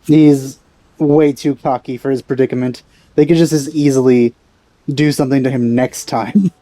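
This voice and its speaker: Male gaming host, relaxed dark tone, medium pitch, casual reactive commentary. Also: moderate pace, no echo, poor audio. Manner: informal reactions, musing aloud. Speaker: let's play host.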